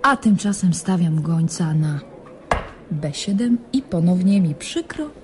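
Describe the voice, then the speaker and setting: Low-voiced young chess prodigy. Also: female voice, expressive, measured pace, background noise. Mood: cool confidence. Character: young, sly, self-assured female chess prodigy. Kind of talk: radio drama acting.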